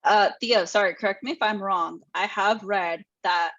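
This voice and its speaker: A woman's voice: mid-range voice, fairly even intonation, normal speed, a bit bright, no room sound. Woman hosting a panel, casual and gushy, dry muffled lo-fi call sound. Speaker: panel host. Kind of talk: casual chat.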